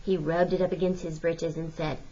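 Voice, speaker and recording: A woman reading a folksy first-person novel aloud as expressive storybook narration, like a teacher. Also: low-pitched, fairly quick, lo-fi sound.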